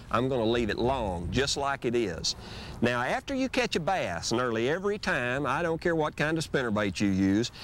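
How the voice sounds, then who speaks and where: A middle-aged man teaches fishing tricks outdoors on a lake in a relaxed, folksy way. His pitch moves around a lot as he explains, and a faint outdoor hiss sits under his lapel mic. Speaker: fishing show host. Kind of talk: instructional fishing demonstration. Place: outdoors on a lake, on a boat and on the shore.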